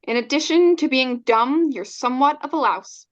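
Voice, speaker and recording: A woman's voice: high voice, moderate pace, highs cut off. A woman playing a bitter, sarcastic wife who is leaving her husband, in an old-time radio drama with cutting delivery. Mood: scornful, needling. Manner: cutting, scornful, deadpan sarcasm.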